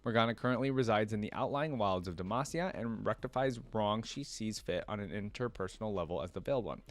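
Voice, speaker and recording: A man's voice: on the low side, lively intonation, fast talker. A man hosting a reaction video, reading aloud and commenting in an informal, fan-like way.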